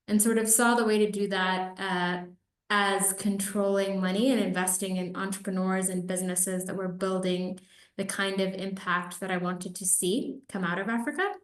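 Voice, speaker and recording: A woman from Kenya giving conversational answers as an investor being interviewed on a webinar call. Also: on the low side. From Nairobi, Kenya. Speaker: venture capital investor, interview guest. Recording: roomy echo on webinar call.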